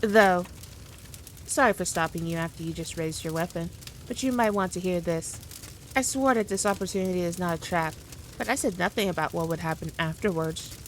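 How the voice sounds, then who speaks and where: A woman playing a powerful demon queen in an ASMR roleplay, condescending, teasing and theatrical, with deliberate, drawn-out phrasing. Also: lowish voice, slight background noise, natural voice. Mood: quietly menacing. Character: powerful demon queen, haughty, teasing, dominant, toying with her foe. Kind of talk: scripted ASMR roleplay addressed to the listener.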